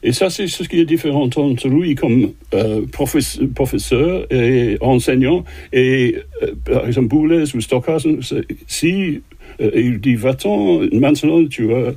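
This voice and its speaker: Older guy, husky voice, dry close sound, lots of euhs and restarts. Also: medium pitch, lively intonation, dark tone, no room sound. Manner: halting, many fillers and restarts. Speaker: composer guest. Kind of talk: interview answers in a second language.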